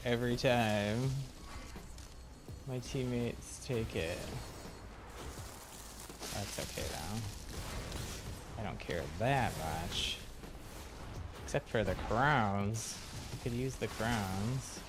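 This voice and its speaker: A man's voice: fairly low pitch, lively intonation, very slow, long pauses. A man giving easygoing, casual gameplay commentary as a game streamer. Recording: loud game music behind. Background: loud video game music.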